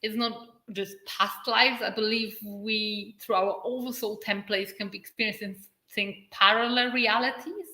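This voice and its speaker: A woman's voice: medium pitch, fairly even intonation, pushed and bright. Earnest Polish-accented woman, echoey room. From Poland. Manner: earnest, stretches key words with dramatic pauses. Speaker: spiritual channel and activator. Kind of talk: livestream talk answering viewer comments.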